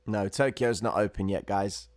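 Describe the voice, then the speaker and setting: A man's voice: fairly low pitch, steady tone, normal speed, a little mellow, no echo, soft background music. A man hosting a livestream, casually explaining chart trading and chatting with viewers who comment. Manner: chatty, reads viewer chat, calls viewers guys and bro.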